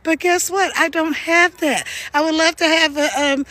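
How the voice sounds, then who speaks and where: A woman in her sixties with a gravelly voice, coaching viewers on a casual livestream while walking outdoors at night and talking into her phone. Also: extremely high pitch, steady tone, normal speed, bright, forceful tone. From United States. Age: 60s. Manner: upbeat and pushy, coaxing viewers. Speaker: online marketing coach. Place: outdoors on a mountain road at night.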